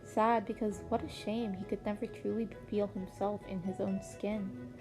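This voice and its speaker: A woman's voice: medium pitch, steady tone, normal speed. A woman reading scripted story narration as an amateur audiobook reader, with music playing underneath.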